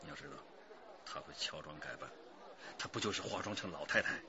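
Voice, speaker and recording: A commanding detective chief in a radio crime drama. His voice is lowish and moves with lively intonation. The sound is dull, with clear noise behind him. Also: heavily acted. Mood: urgent, reasoning. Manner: commanding, deliberate. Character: decisive male police detective chief.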